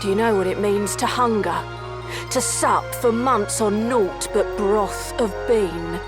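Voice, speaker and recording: Actress doing a defiant young rebel lady from a fantasy game, slow impassioned speech, music behind. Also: mid-range voice, lightly acted. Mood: bitter defiance. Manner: archaic, impassioned oratory. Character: proud, defiant young female rebel fighter. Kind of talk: dramatic fantasy game voice acting. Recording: game clip under loud music.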